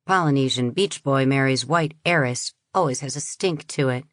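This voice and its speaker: A man's voice: mid-range voice, normal speed, fairly bright tone, dry recording, clean studio sound, lightly acted. A woman voicing a stiff, prejudiced middle-aged husband who talks in a stubborn, evasive way.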